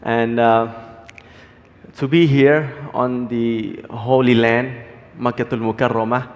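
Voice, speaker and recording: Thai guy w thai accent on a panel, mid pitch, casual talk, echoey big hall. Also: fairly even intonation, takes it slowly, highs cut off. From Thailand. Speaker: panelist, halal content creator. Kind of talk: informal self-introduction on stage. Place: large hall, on stage at a forum. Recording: reverberant hall, stage sound.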